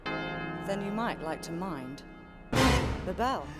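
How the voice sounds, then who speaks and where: A woman's voice: lowish voice, expressive, slow pace. A woman playing a cool, fearless adventurer with a dry, sardonic manner in a video game. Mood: cold, sardonic. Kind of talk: video game cutscene acting. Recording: loud music under the voice.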